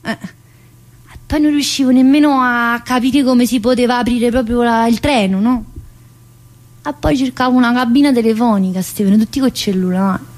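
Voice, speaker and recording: A woman's voice: fairly high pitch, darkish tone, lightly acted. A woman acting a scrappy, needy, joking prison inmate in a theatrical monologue, speaking colloquially to unseen listeners. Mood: embarrassed, bewildered. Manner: halting, repetitive, pleading, addressing unseen listeners. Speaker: stage actress performing a solo play.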